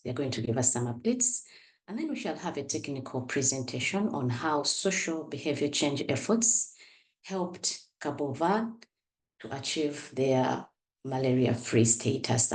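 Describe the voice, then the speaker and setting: Very low-voiced woman hosting, roomy sound. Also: darkish tone. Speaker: co-chair hosting an online working group call. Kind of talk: welcome address on a conference call. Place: indoor room, on an online conference call. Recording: roomy, echoing online call audio.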